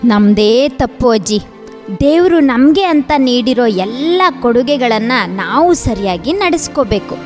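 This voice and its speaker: A high, animated female voice with faint music behind , acting in an audio drama. She is a thoughtful granddaughter, earnest and moralizing. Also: normal speed, lightly acted. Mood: earnest, remorseful.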